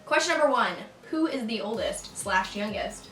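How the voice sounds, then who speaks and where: A woman's voice: on the high side, expressive, normal speed, a bit bright. A woman in her twenties hosting a casual vlog chat, laughing and telling stories. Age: 20s. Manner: chatty, laughing, storytelling. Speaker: vlogger host. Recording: roomy echo, mic at a distance, faint music. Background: faint music.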